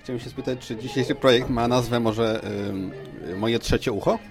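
A man interviews casually for a podcast. He puts his questions with playful mock formality. Music is clearly audible behind him. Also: medium pitch, steady tone, normal speed. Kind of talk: casual chat.

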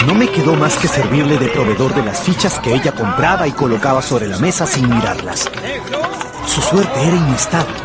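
An actor playing an earnest, lovestruck young man who narrates his own story in an expressive radio drama style. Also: mid-range voice, a little fast, natural voice. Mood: anxious, lovestruck. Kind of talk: dramatised first-person narration.

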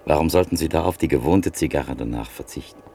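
A weary middle-aged art dealer in an old radio play. His voice is very deep and gravelly, and he speaks in a measured, reflective storytelling manner over a faint hiss. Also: mellow. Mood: thoughtful. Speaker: antique print dealer. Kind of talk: classic radio drama acting.

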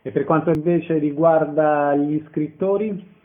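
A man's voice: fairly high pitch, steady tone, unhurried, narrow, tinny audio. A man asking short questions as an interviewer. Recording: band-limited radio or phone sound.

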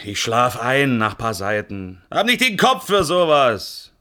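An actor playing a coarse, drunken young working-class husband in a radio drama, blunt, sneering and defiant. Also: male voice, mid-range voice, very animated, unhurried, sharp tone. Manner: sneering, blunt, clipped colloquial phrases. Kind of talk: radio play acting.

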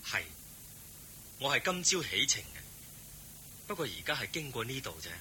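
An actor playing a serene, kindly holy teacher in a Hong Kong Cantonese radio drama, speaking in a composed, gently instructive way. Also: male voice, mid-range voice, sing-song, moderate pace, slight background noise. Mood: calm.

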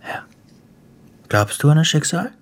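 A man acting in a German radio play as a cruel, gleeful crime boss, theatrical and taunting, with a husky voice that drops into whispers. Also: fairly high pitch, very animated, measured pace. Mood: sly, commanding. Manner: theatrical, taunting, savoring storyteller.

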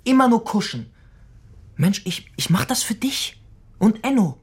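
An actor playing a brash, stubborn young blackmailer in a radio crime drama, pushy, defiant and slangy. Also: male voice, high-pitched, very animated, unhurried, husky, heavily acted. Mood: heated, defiant. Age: young adult.

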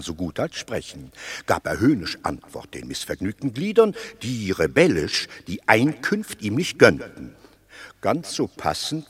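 An actor playing a wily, eloquent Roman patrician in a radio drama, theatrical and rhetorical, placating rebels with fables. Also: male voice, on the low side, husky, heavily acted. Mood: wry storytelling. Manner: theatrical, deliberate, rhetorical, swinging from hushed to declaiming. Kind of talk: classical German stage-style radio drama acting. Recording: long reverberant hall.

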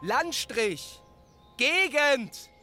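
A woman reading formal definitions in a measured, word-by-word way for a scripted radio play. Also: high-pitched, big pitch swings, pushed and bright, faint music behind, full character voice. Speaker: narrator.

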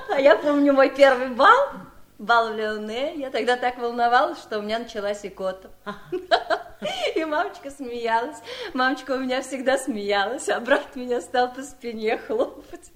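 An actress in a radio drama playing a wistful, nervous gentlewoman who rambles nostalgically in a girlish manner. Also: high voice, expressive, measured pace. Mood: giggly nostalgic. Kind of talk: Soviet radio drama acting.

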